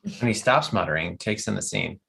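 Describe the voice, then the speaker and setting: A narrator reading out scripted stage directions at an animated comedy table read. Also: deep voice, fairly quick, gravelly, low-quality recording.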